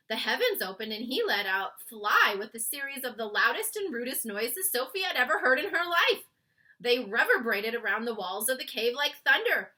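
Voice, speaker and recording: A woman narrates a children's storybook in an expressive read-aloud style. Her voice is fairly high and very bright, with animated, wide-ranging pitch. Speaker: storybook reader.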